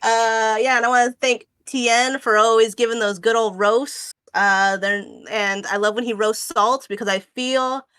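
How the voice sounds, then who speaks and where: A woman's voice: on the high side, steady tone, moderate pace, hardly any pauses, a bit bright, clear, resonant tone. A woman in her late twenties, a guest artist chatting casually on a stream in a rambling way, full of fillers and restarts. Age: 20s. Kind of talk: casual chat.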